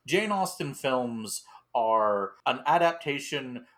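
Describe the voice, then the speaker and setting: Mid-pitched man chatting about films. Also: expressive, slow, fairly bright tone. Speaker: podcast panelist. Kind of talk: casual film discussion.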